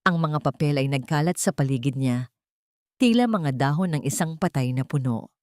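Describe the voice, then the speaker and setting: A woman's voice: sits low, lively intonation, normal speed, a little mellow, no echo, clean studio sound. A woman narrating a scripted dramatic story in a solemn, emotive storytelling manner.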